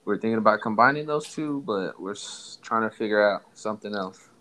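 A man's voice: lowish voice, brisk, bright, forceful tone, a little hiss or hum. A young man from Somalia hosting a casual podcast, loose and joking with his co-host.

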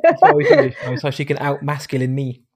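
A man in his thirties with a British accent, hosting a podcast in a chatty, jokey way, his voice light rather than deep. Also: huge pitch swings, fast, darkish tone, slightly rough. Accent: British English. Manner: chatty, jokey, laughs often. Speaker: podcast host. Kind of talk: casual chat.

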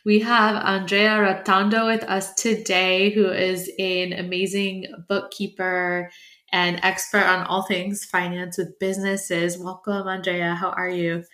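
A woman's voice: lowish voice, level tone, measured pace, pushed and bright. A woman hosting a finance interview podcast, talking in an upbeat, conversational way with the odd "um". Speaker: podcast host.

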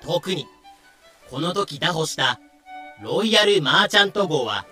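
A man narrates a story in a high, bright voice. He reads scripted storytelling lines with light music underneath. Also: expressive, a little slow. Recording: music bed behind voice.

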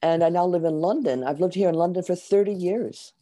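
A hoarse, middle-aged woman with an American accent, answering interview questions in a chatty, explanatory way with some hesitation. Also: fairly low pitch, brisk, dark tone. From Michigan, USA. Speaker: guest author.

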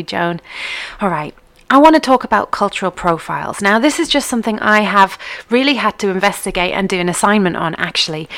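Chatty northern English woman hosting livestream. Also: mid-range voice. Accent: mixed northern English. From England. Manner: chatty and upbeat, addresses viewers directly. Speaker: online line dancing group host. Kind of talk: casual livestream chat.

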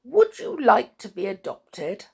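Woman voicing a friendly, creaky old tomcat. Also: a little high, wildly swooping pitch, slow pace, a little mellow, voice pushed up, full character voice. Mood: welcoming. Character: friendly, welcoming old male cat. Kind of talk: children's storybook read aloud.

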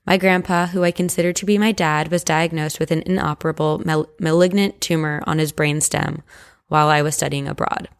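A woman co-hosting a podcast, chatting casually and reading stories in an expressive, reassuring, conversational way. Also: fairly low pitch. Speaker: podcast co-host. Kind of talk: casual paranormal podcast chat and story reading.

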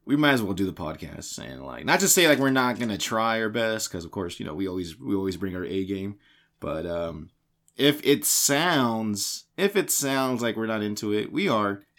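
A man co-hosting a casual podcast from a home game room, with a slightly raspy voice recovering from being lost, rambling and repeating words in a jokey way. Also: lowish voice, sing-song, normal speed, fairly bright tone. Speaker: podcast co-host introducing the show.

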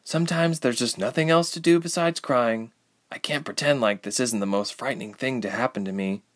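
A man's voice: medium pitch, a little fast, fairly bright tone, pitched above the performer's own voice, lightly acted. Dude doing an anxious homesick young woman, audiobook style, plaintive and sighy, voice raised a bit. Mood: dejected. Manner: plaintive, sighing. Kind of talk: audiobook character voice.

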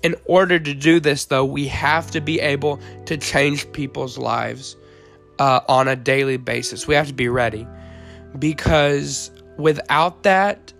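A teenage boy, hoarse from the flu, hosting a faith podcast in an earnest tone with frequent ums and uhs. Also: medium pitch, steady tone, measured pace, fairly bright tone, soft background music. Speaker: podcast host.

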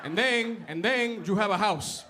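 Man doing an excitable Spanish-accented dad, pitched up. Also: measured pace. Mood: emphatic. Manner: emphatic, switches between Spanish and English. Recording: live club, loud audience noise.

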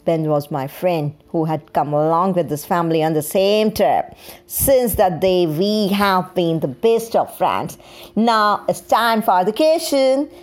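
A woman reading a scripted first-person story in a deliberate, dramatized storytelling manner. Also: on the low side. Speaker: storyteller, podcast host.

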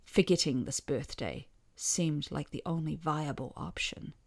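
A woman reading a scripted first-person short story with wry expression, letting some phrase endings drop softly. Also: sits low, measured pace, gravelly, no echo.

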